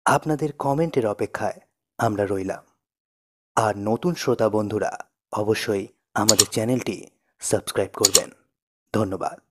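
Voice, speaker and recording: Male channel announcer, lowish voice, scripted read. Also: moderate pace, long pauses, husky.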